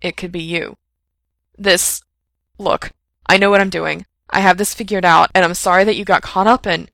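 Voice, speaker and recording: A woman voicing an anxious, defensive young man who talks in loud, strained bursts, in a low, gravelly voice. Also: steady tone, normal speed, no echo. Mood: flustered. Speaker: reader voicing a character.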